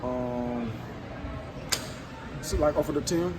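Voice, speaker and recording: A teenage basketball recruit from Senegal, answering interview questions in a halting, casual way, full of fillers. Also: male voice, medium pitch, darkish tone, faint music behind. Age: teens. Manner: halting, many fillers like um and you know.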